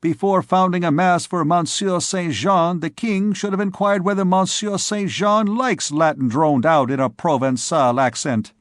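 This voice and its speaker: A man's voice: sits high, animated, slow, no room sound, clean studio sound, voice pushed up. A man voicing a young male scholar who jokes at his friend's expense in an old storybook tale. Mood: mocking. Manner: mocking, playful.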